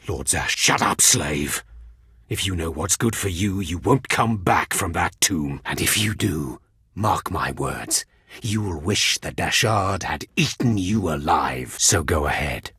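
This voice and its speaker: Raspy, cruel Sith overseer. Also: male voice, fairly low pitch, fairly bright tone, dry recording, clean studio sound, full character voice. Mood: deferential, reluctant, then menacing. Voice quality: raspy, husky. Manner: sneering, menacing, imperious. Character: cruel, contemptuous Sith overseer, a grown man. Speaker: video game voice actor. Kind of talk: scripted video game dialogue.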